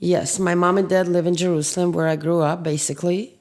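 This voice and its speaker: Forties woman, deep register, answers bluntly as a guest. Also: little pitch movement, brisk, a little mellow. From Ukraine, raised in Israel. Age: 40s. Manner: direct and plainspoken, with non-native grammar. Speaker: interview guest.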